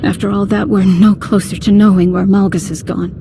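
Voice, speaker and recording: An earnest female Jedi played by an actress speaks with concern at a medium pitch. The delivery is that of a sci-fi game cutscene, with music clearly behind it. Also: flat delivery, fairly quick, natural voice. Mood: frustrated. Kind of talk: video game dramatic acting.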